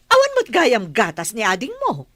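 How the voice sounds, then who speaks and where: A woman's voice: a little high, wildly swooping pitch, moderate pace, sharp tone, full character voice. A woman with an Ilocano accent playing a scolding, incredulous but grudgingly kind village auntie in a comedy radio drama. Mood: disapproving.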